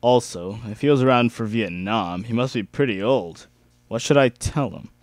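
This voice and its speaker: A young man narrates fan fiction as an amateur dramatic reader. His pitch is average for a man. The recording is dry, with no room sound. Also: normal speed, no echo. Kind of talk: dramatic reading of first-person fan fiction.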